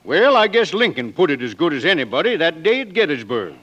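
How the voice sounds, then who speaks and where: An actor in a 1940s radio drama playing a good-natured, blustering rural American rancher with a folksy, stammering manner. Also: male voice, on the high side, sing-song, brisk, fairly bright tone, muffled recording.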